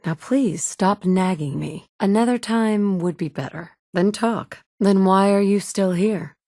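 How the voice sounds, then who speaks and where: A woman's voice: mid-range voice, mellow, dry recording, studio quality, close to an everyday voice. An actress voicing a tough, blunt woman survivor, the player character in a game, who is curt and assertive. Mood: firm. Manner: curt and assertive, quick to snap back. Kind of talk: game voice lines.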